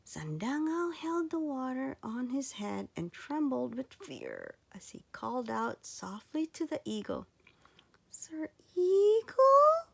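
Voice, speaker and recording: A woman reading a children's storybook aloud with expressive narration. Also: on the high side, unhurried, vocal fry, few pauses, husky. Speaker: storybook reader.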